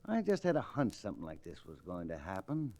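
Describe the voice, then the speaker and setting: An actor with an American accent playing a smug, menacing cattle-buyer villain with an insinuating manner in a radio Western. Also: male voice, low voice, wildly swooping pitch, a little mellow, heavily acted. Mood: scheming. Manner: insinuating, drawn-out threats. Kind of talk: old-time radio Western acting.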